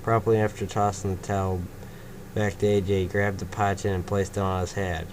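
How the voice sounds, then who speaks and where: A young man with a very low voice reads fanfiction aloud, tripping on words and cursing at his own slips. Steady background noise hangs under the recording. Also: brisk. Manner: stumbling, swearing, laughs at own slips. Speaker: fanfiction reader, narrator. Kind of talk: amateur fanfiction reading and banter.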